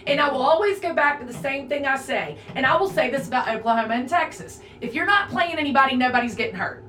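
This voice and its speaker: A woman's voice: high-pitched, animated, quick pace, bright, forceful tone. Opinionated woman in her forties, roomy sound. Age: 40s. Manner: opinionated, emphatic, talks in long runs. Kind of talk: casual sports chat. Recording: roomy echo, a bit far from the mic, faint noise.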